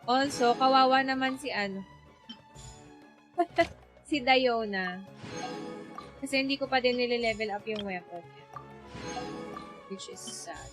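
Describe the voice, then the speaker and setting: A woman's voice: on the high side, unhurried, long pauses, over music. A woman with a Filipino accent chatting casually as she livestreams a game, easygoing and thinking aloud, switching between languages. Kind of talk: casual livestream chat while playing a game.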